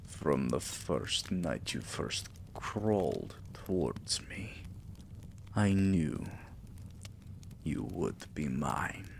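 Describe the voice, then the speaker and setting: A man acts out a vampire king doting on a lover in intimate ASMR. The voice is very low and breathy, sinking into gravelly murmurs. Each phrase comes very slowly, tender and possessive, over a faint hiss. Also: animated, exaggerated voice. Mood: tender, adoring. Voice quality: breathy, half-whispered, gravelly. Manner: slow, soothing, affectionate murmuring.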